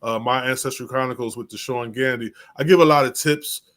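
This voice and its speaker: Guest genealogist, man, mid-range voice, measured and hesitant, full of fillers and restarts. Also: medium pitch, steady tone, fairly bright tone. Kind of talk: casual conversation.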